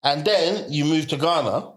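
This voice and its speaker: A man's voice: fairly high pitch, normal speed. A young man hosting a casual interview, laid-back and joking with lots of fillers. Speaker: podcast host. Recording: echoey room.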